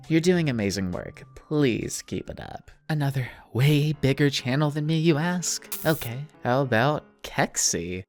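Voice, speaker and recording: Wry, slangy man narrating over music. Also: mid-range voice. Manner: self-deprecating, wordy, wry, slangy. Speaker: YouTube video essayist. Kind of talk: casual scripted video narration.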